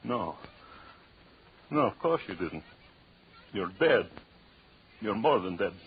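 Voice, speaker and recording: A low-pitched deranged anthropologist in a vintage radio thriller. He is gloating and tormented, repeating himself with theatrical obsession. The recording is dull and noisy. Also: sing-song, takes it slowly. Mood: brooding, haunted. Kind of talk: old-time radio suspense acting.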